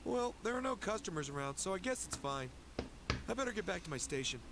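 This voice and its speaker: A man's voice: high-pitched, sing-song, fast talker, vocal fry, a crowd in the background, full character voice. An actor playing a young, chatty male store clerk in a video game dub, talking casually and gossipily.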